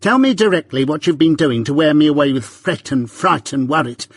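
A man voicing a shrill, scolding blacksmith's wife who talks in a sharp, loud, hectoring way. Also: expressive, a little slow, slightly rough, no echo, clean studio sound.

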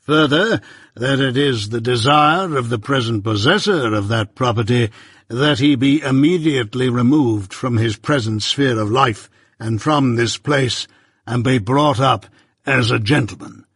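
Guy doing a stern bossy lawyer, slow measured words, a bit husky, higher than his usual, dead dry sound. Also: fairly bright tone, no room sound. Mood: commanding. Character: stern, imperious middle-aged male London lawyer.

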